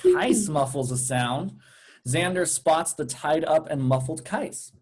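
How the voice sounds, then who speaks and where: A man's voice: a little high, lively intonation, takes it slowly, a little hiss or hum. A man reading out stage directions as scripted narration at a table read.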